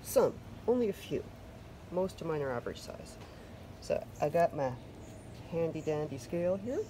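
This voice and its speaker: A woman's voice: deep voice, sing-song, moderate pace, noisy background. A Canadian woman chatting casually through a home garden vlog, loose and self-deprecating, with plenty of fillers. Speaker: vlogger. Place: covered wooden deck outdoors.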